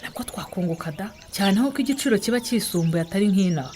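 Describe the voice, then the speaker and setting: A woman's voice: medium pitch, rapid-fire, lightly acted. A woman playing a teasing village wife in a conversational radio drama. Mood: contented. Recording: birdsong and background noise.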